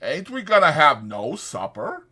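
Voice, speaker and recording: A man voicing a big, simple-minded, meek farmhand with a slow, childish, pleading rural way of talking in an audiobook. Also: fairly high pitch, wildly swooping pitch, sharp tone, slightly rough, pitched above the performer's own voice, heavily acted. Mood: eager, questioning.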